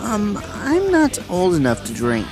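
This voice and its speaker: A man's voice: high-pitched, huge pitch swings, a little slow, over music, full character voice. A man acting a shy, studious teenage boy in an audio drama, speaking hesitantly and earnestly. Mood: awkward, polite.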